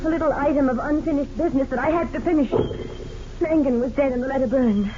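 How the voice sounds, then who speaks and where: An actress playing a desperate, scheming young murderess, narrating intensely in a 1940s radio drama. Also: female voice, high-pitched, quick pace, close to an everyday voice. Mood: cold, haunted. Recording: muffled old radio recording, loud noise.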